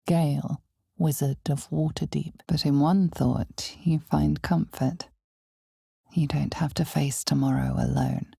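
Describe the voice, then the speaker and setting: A woman voicing a grave, ominous narrator of a dark fantasy video game, speaking in measured, deliberate dramatic narration with a breathy, husky voice that often drops toward a whisper. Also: low voice, dry recording, clean studio sound, exaggerated voice. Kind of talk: scripted dramatic second-person fantasy narration.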